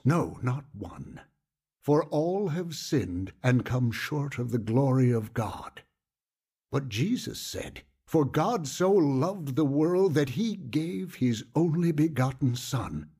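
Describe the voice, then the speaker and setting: An actor playing a patient male pastor in an audio drama, earnest and gently instructive with a husky voice. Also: medium pitch, heavily acted. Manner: earnest, gently instructive, quotes scripture solemnly. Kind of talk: audio drama dialogue, preaching.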